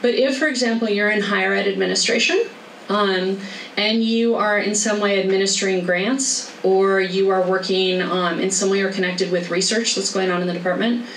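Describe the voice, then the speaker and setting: Woman running a workshop, chatty and quick, mic kinda far off, echoey room. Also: mid-range voice, steady tone. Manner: brisk, chatty, laughs easily. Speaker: career workshop speaker. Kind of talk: informal talk with Q&A. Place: lecture room. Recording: echoey room, distant mic, faint noise.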